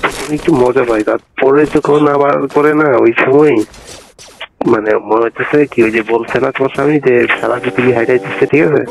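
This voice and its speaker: A man's voice: medium pitch, fairly even intonation, brisk, vocal fry. A man with a Bangladeshi accent chatting intimately and casually on the phone, teasing and coaxing as he talks. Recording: noisy phone call recording, clicks.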